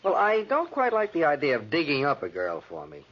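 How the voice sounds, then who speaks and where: Cocky, bantering bachelor writer in vintage radio drama: husky, very animated pitch, muffled old recording. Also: male voice, a little fast. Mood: breezy, confident. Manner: breezy, bantering. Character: cocky, teasing bachelor writer, self-assured wilderness companion. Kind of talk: 1940s radio drama acting. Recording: dull lo-fi 1940s radio recording.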